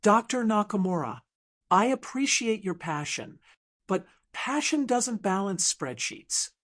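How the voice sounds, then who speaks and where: A woman's voice: medium pitch, expressive, measured pace. A woman voicing a cold, controlling male resort CEO with an unyielding corporate manner, in an audiobook drama. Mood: coolly dismissive.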